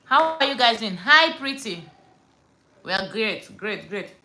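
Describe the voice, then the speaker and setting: A woman from Nigeria with a Nigerian accent, chatting casually on a live stream from home. She tells stories in an animated, chatty way and drops pidgin slang. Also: a little high, unhurried, poor audio. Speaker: livestream host.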